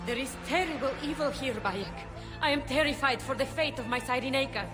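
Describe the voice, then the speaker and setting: An actress voices a fierce woman leader in a video game. Her voice is on the high side and carries a weary, serious weight, with clear music playing behind it. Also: a little fast, lightly acted. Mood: horrified. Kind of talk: dramatic game acting. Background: prominent music.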